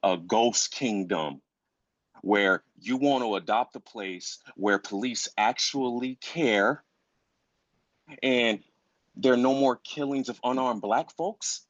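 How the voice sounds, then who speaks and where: A man's voice: mid-range voice, takes it slowly, dry recording, muffled recording, natural voice. An actor playing a grieving, furious father in a dramatic script reading, speaking emphatically and incredulously, repeating phrases for weight. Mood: bitter, dismissive.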